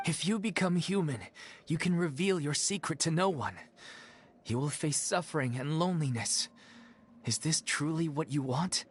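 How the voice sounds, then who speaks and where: An actor playing an easygoing, good-natured, plump stage performer in a video game, with an expressive delivery. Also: male voice, fairly high pitch, moderate pace. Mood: solemn. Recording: music clearly heard behind the voice.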